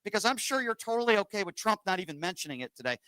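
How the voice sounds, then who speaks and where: A male radio host who argues hard with callers and needles them with sarcasm. His voice is bright and forceful and sits a little high. The sound is dry and close. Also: big pitch swings, rapid-fire, clear, resonant tone, no room sound. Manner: combative, sarcastic, talks over callers. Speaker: radio call-in show host. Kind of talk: political call-in radio talk.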